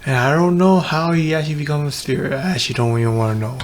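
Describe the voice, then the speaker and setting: A man's voice: on the high side, rapid-fire. A man streaming a game, giving casual, offhand commentary as if muttering to himself. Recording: game music behind the voice. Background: game music.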